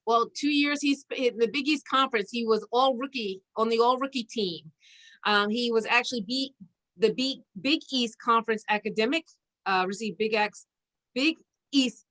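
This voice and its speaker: A woman hosting a conversational interview, chatty and halting as she searches for her words. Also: on the high side, sharp tone. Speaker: interview host. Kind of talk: conversational interview with an informal guest introduction.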